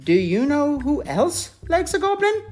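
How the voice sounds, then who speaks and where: A man's voice: extremely high pitch, sing-song, measured pace, a little mellow, faint music behind, pitched above the performer's own voice, heavily acted. A man voicing a friendly, devoted cave goblin in a children's storybook, eager and emphatic. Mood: inviting.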